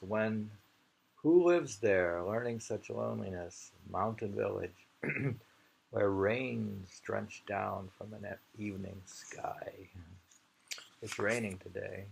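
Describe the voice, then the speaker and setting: Low creaky guy musing over poems, rambly, bit of noise on the recording. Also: slow. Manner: musing, rambling, repeats phrases. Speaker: poetry reader. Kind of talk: informal poetry reading and commentary. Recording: noisy amateur home recording.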